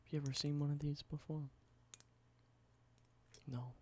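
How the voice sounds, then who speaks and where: A young man doing a soft, halting ASMR whisper, slowly explaining everyday objects in simple words. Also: fairly high pitch, little pitch movement, a little hiss or hum. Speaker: ASMR creator.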